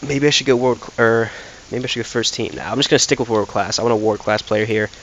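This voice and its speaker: A man's voice: medium pitch, fast, fairly bright tone, muffled recording, slight background noise. A young man casually commenting while playing a football game, thinking aloud with lots of fillers and restarts. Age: young adult. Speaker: gaming commentator.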